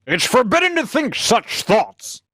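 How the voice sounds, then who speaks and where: A woman's voice: on the high side, sing-song, unhurried, fairly bright tone, no echo, studio quality, exaggerated voice. A woman playing an anxious, hesitant young male scientist with orthodox beliefs in a radio sci-fi drama. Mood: disbelieving. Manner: hesitant, incredulous, protesting. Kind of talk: cold-read old-time radio sci-fi drama.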